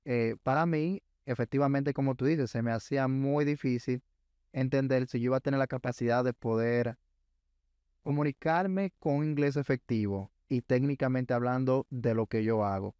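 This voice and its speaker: Smooth-voiced podcast host. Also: male voice, mid-range voice, moderate pace, a little mellow, no echo. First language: Spanish. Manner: reflective, agreeable, builds on the guest's points. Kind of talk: casual interview chat.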